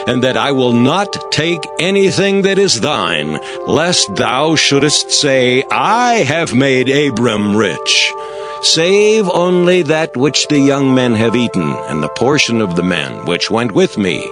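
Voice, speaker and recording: An actor playing an earnest, reverent biblical patriarch, declaiming in a dramatized scripture reading. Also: male voice, mid-range voice, big pitch swings, slow pace, over music. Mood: firm, dignified.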